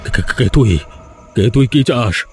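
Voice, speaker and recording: A man's voice: mid-range voice, lively intonation, a little slow, a little mellow, soft background music. A man voicing a proud, domineering village landlord and father in a cartoon, blustering and repeating himself. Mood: terrified.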